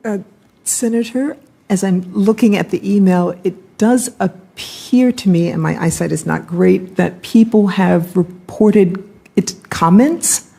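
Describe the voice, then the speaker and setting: A woman testifying as an official at a hearing, speaking in a measured, careful and hesitant way. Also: mid-range voice, creaky, mellow, husky. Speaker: government official testifying as witness. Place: legislative hearing room.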